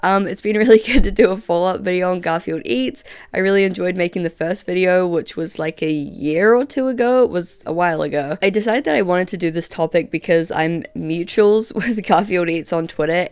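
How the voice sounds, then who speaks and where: A woman's voice: fairly low pitch, fairly quick, few pauses, darkish tone, smooth. A young woman YouTuber narrating a video essay in a wry, conversational way, just recovering from being sick, on a home mic without a pop filter. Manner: wry, conversational, with filler words in asides.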